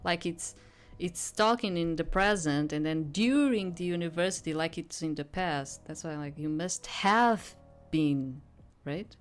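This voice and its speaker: Female streamer, low pitch, let's play commentary, rambling asides, reading aloud, background game music. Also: a little slow, hardly any pauses.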